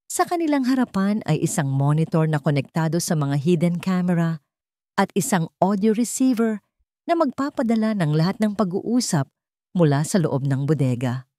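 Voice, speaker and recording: A woman with a Filipino accent reading a scripted dramatic story in a measured, suspenseful way. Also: lowish voice, lively intonation, dark tone, no room sound, clean studio sound. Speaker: story narrator.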